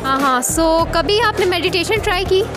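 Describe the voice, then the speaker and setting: A woman's voice: very high-pitched, normal speed. A young woman, a student interviewer asking questions politely in a vox-pop style. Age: young adult. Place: university corridor and campus grounds. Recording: loud music behind.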